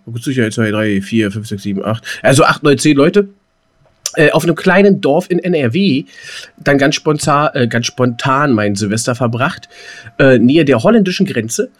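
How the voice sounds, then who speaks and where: A man co-hosting a podcast, telling anecdotes in a rambling, colloquial, casual way. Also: mid-range voice. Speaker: podcast co-host.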